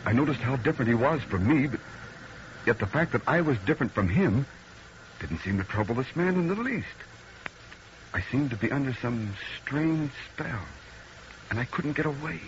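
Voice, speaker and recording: A man's voice: medium pitch, lively intonation, normal speed, natural voice. An actor playing a dazed, uneasy city man telling an eerie tale in old radio drama style, earnest and haunted. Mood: bewildered, urgent. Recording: muffled old radio recording, faint noise.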